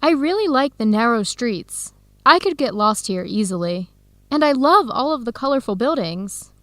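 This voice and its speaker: A warm, inquisitive American woman researcher acted by a woman in a radio drama. Her voice sits fairly high, conversational and recorded with very little room sound. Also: sing-song, moderate pace, no room sound. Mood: curious. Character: Helen, warm curious American woman researcher seeking family. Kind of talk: scripted educational radio drama dialogue.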